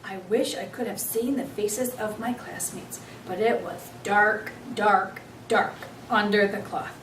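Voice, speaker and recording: A woman reading a children's book aloud as an expressive storyteller. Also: medium pitch, measured pace, far from the mic. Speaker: story reader. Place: echoey room, away from the mic. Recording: roomy, off-mic, faint background noise.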